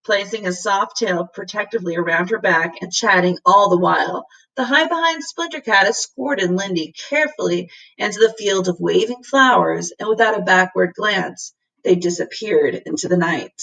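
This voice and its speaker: A woman reading a children's storybook aloud as a teacher would to a class, in expressive narration. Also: medium pitch, moderate pace, vocal fry, breathy edge, distant mic, poor audio.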